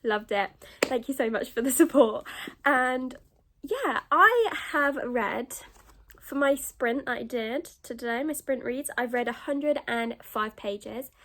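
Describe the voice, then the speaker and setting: A woman's voice: sits high, expressive, slow, bright, forceful tone. A British woman chatting casually in a rambling book vlog, groggy and sniffly with a cold. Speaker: book vlogger.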